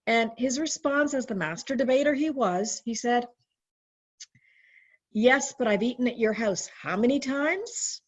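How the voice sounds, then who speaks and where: A woman raised in Canada telling personal stories in a chatty way, with lively quoted voices and frequent restarts. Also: a little high, a little slow. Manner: chatty, animated when quoting, frequent restarts. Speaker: webinar guest. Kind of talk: casual storytelling recollection. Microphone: video call. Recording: dull lo-fi video call audio.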